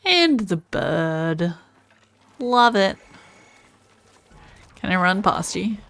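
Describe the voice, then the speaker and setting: A woman's voice: fairly low pitch, sing-song, drawn-out words, a bit bright. An Australian woman chatting casually while streaming gameplay and answering viewers' comments.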